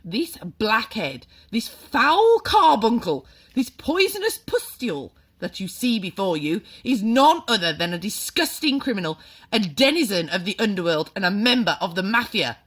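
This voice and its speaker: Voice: mid-range voice, big pitch swings, normal speed, slightly rough, voice pushed up. A reader voicing a furious, bullying headmistress who barks and screams insults at a boy. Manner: barking, shouting insults.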